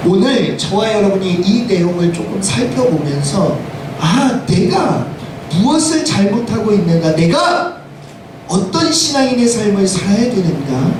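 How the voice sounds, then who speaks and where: Man, pastor, hoarse, high-pitched, emphatic sermon delivery, rhetorical questions, very echoey noisy room. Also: recorded from a distance. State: hoarse from a cold. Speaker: senior pastor. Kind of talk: Sunday church sermon. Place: church sanctuary, preaching to congregation.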